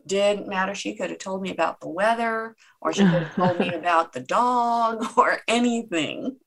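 A woman's voice: medium pitch, normal speed, a bit bright, low-quality recording. A woman whose first language is Czech, speaking as a guest in an interview with an earnest, reflective manner. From Czech Republic. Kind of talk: conversational interview.

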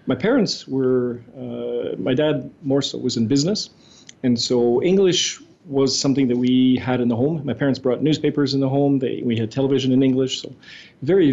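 An older man from Montreal, a native French speaker, tells his story as an interview guest with an articulate, steady flow. His voice is dark and mellow, and the recording sounds dull. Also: medium pitch, moderate pace, vocal fry. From Montreal, Quebec. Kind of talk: interview answers.

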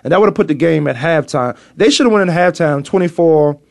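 A man with a Virginia accent hosting a casual sports talk show, arguing his points emphatically and repeating himself when excited. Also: on the high side, fast, vocal fry, no room sound, studio quality.

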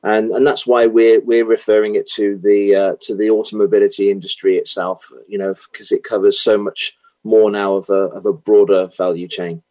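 British guy on a podcast interview, kinda low voice, lots of ums and restarts. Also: little pitch movement, normal speed, mellow. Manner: hesitant, many fillers and restarts. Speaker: guest, automotive consultant. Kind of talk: interview answers.

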